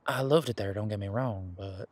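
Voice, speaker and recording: A man playing an earnest, teasing werewolf boyfriend in an intimate roleplay audio drama, speaking casually and haltingly with a husky voice. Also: lowish voice, no echo, close to an everyday voice. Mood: earnest, hopeful. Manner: casual, halting, conversational with trailing pauses. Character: male werewolf boyfriend, earnest, hopeful, teasing former bodyguard.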